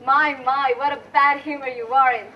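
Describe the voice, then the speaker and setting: A romantic young bride-to-be in a school stage play, acted by a young woman. Her voice is high and comes from a distance, with faint noise in the room. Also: steady tone, normal speed, highs cut off, lightly acted. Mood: fond, teasing. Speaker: student actor. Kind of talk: amateur stage play acting. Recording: distant mic, noisy room.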